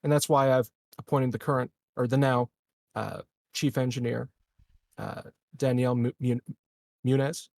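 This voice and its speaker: A man roleplaying a measured, diplomatic colony administrator in a tabletop game, speaking formally and haltingly. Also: mid-range voice, steady tone, creaky, a little mellow, no echo, clean studio sound, close to an everyday voice.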